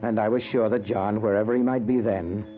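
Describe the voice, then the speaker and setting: An actor playing a grandiose, fanatical, coldly murderous uncle in a 1940s radio drama. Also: male voice, lowish voice, fairly even intonation, brisk, soft background music, close to an everyday voice. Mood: calm narrating. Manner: grandiose, insistent, theatrical. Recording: tinny, noisy old radio recording.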